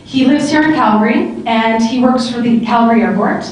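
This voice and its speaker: High-voiced woman moderating a panel. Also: moderate pace. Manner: upbeat, standing with handheld mic. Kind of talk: hosting a live panel. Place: large convention hall. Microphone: handheld mic. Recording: echoey hall, camera from far off.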